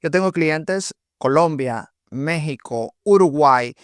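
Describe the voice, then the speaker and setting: A man from Cuba, an accountant guest on a podcast, talking in a lively way with rhetorical questions and sayings. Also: on the high side, slow pace, dry recording, studio quality. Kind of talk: conversational podcast interview.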